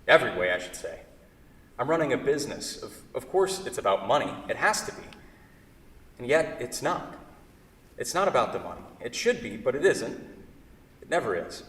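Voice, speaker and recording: A man's voice: mid-range voice, very animated, moderate pace, long pauses, a bit bright. Echoey distant hall, man playing anguished furniture maker, wordy, fretful, self-correcting, theatrical stage reading. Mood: anguished, pleading. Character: anguished furniture craftsman in love with his own creation. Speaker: actor in a staged play reading. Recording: echoey hall, far from the mic.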